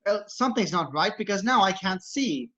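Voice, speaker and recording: A man's voice: high-pitched, lively intonation, normal speed, fairly bright tone. A man playing a fussy, panicky protocol droid in an amateur script read, fretful and prissy. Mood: indignant. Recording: dull lo-fi microphone.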